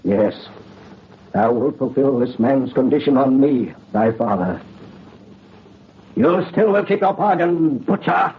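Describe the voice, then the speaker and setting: An actor playing a proud middle-aged aristocrat father in an old radio drama, with grave, declamatory archaic speech and a husky voice. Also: mid-range voice, expressive, takes it slowly, pauses often, slight background noise. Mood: resigned sorrow. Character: proud, doomed aristocrat father, solemn and resolute. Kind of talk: old-time radio horror drama acting. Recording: muffled old radio recording.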